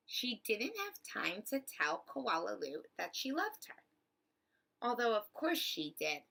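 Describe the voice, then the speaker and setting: A woman reading a picture book aloud to children like a teacher, with expressive, deliberate phrasing. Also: fairly high pitch, creaky. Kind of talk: storybook read-aloud for children.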